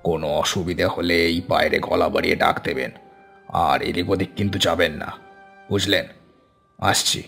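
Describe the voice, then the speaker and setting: A man playing a sinister, commanding attendant in a horror audio story, speaking deliberately with ominous politeness. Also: low-pitched, steady tone, vocal fry, a bit bright, soft background music. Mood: ominously polite. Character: sinister, commanding male attendant of an eerie gathering.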